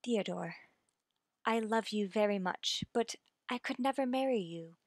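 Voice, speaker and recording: A woman playing an earnest, anxious woman in love with a widower, in slow, pleading, melodramatic old-time radio suspense style. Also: mid-range voice, no room sound, clean studio sound. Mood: tender, pleading. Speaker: radio drama actor.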